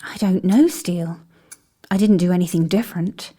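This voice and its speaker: A woman playing a calm, perceptive, mysterious investigator in a scripted audio drama, speaking in a measured, thoughtful way. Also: lowish voice, breathy edge. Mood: uneasy, intent.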